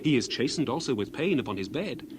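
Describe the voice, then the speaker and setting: A man's voice: mid-range voice, expressive, fast talker, noisy background, close to an everyday voice. A man playing an indignant, earnest young man in dramatized scripture, speaking in emphatic, oratorical archaic phrases. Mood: solemn.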